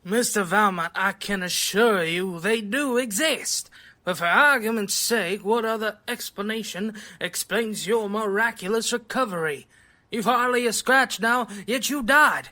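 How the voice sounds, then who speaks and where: A man's voice: high voice, unhurried, bright, forceful tone, heavily acted. An actor playing a precise, earnest man of science, formal and insistent, in an audio drama. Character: earnest, precise scientist doctor calmly explaining the impossible.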